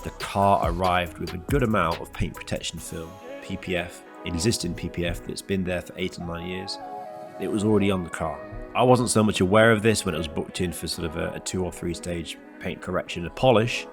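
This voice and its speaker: A man's voice: low-pitched, brisk, creaky. A man with a cold, sounding nasal and congested, narrating his car detailing video in a methodical, explanatory way. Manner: methodical, explanatory, lists steps one by one. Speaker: car detailer and channel host. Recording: voice-over with loud music underneath.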